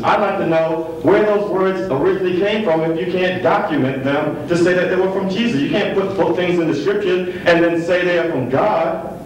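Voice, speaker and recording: A man with a slightly raised voice presses his case over and over in a public debate. He sounds distant from the mic, with heavy hall echo and faint noise. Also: on the high side. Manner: insistent, repeats his points. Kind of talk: heated debate argument. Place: large hall. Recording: very echoey hall from far off.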